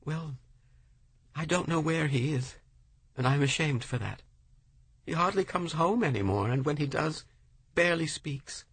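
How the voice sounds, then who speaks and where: A tearful wife, pleading and full of sorrow, as a male reader puts her on. Her voice sits high and thin, with a husky edge. The recording is close and dry, with no room around it. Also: expressive, unhurried, long pauses, a bit bright, no room sound, heavily acted. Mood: distraught. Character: distraught, tearful wife, middle-aged woman.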